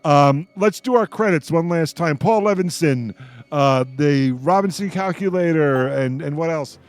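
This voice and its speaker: A man's voice: fairly high pitch, a little slow, no room sound. A man hosting a casual live radio talk show in a jovial, rambling way.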